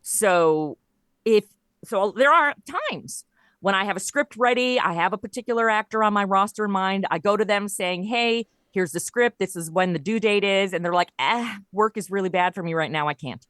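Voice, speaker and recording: A woman chatting casually as a podcast guest, telling things in an animated, conversational way and voicing bits of dialogue as she goes. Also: medium pitch, normal speed, no echo. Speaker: podcast guest, audio drama creator and voice performer. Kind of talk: casual interview chat.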